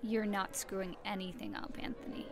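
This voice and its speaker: A woman's voice: mid-range voice, steady tone, normal speed, loud music behind the voice, lightly acted. An actress playing a guarded young woman on a first date in an audio drama, speaking tersely. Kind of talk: naturalistic audio drama acting.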